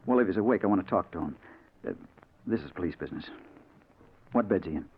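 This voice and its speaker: A man's voice: low voice, expressive, fast, slightly rough. Dogged tough newspaperman, old muffled radio. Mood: brisk, pressing. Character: tough, dogged newspaperman hero of a crime thriller. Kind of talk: 1940s radio acting, first-person narration and dialogue. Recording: muffled, noisy 1940s radio recording.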